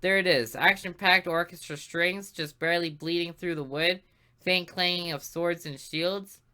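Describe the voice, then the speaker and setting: Forceful woman reading a game aloud. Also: lowish voice, normal speed. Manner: expressive reading with asides. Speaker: gaming video host. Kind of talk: reading a visual novel aloud.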